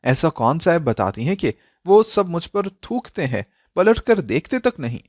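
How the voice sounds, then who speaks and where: An anguished young woman played by a male storyteller speaks with raised pitch and lively swings. She pleads and questions insistently, full of emotion, in a closely recorded dramatic tale. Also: unhurried, no room sound, clean studio sound. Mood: hurt, demanding.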